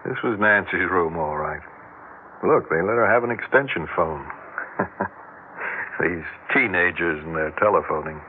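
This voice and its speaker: A man's voice: low-pitched, unhurried, long pauses. A man playing a matter-of-fact, observant guide showing someone around a house in a 1960s radio drama. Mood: casual, musing. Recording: tinny, faintly noisy 1960s radio recording.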